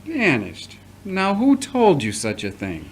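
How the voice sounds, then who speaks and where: An actor playing a patient, fatherly middle-aged priest in an audio drama, speaking in a measured, formal way. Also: sits high, huge pitch swings, husky, exaggerated voice. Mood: firm, earnest. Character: returning priest, patient, paternal, earnest, formerly accused. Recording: noticeable background noise.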